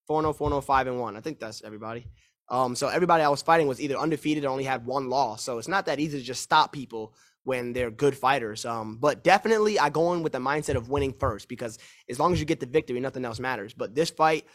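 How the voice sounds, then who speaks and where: A man in his twenties, a fighter answering press questions on a call, confident and repeating himself in fast run-on answers. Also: mid-range voice. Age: 20s. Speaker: mixed martial arts fighter.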